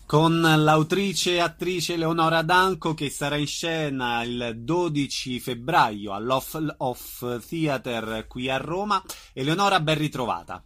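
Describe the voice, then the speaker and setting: A woman's voice: sits low, measured pace, hardly any pauses, fairly bright tone. A woman hosting a radio interview. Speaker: radio show host.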